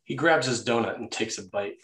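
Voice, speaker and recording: A man's voice: medium pitch, a little fast, fairly bright tone. A man reading stage directions as the narrator of a screenplay table read.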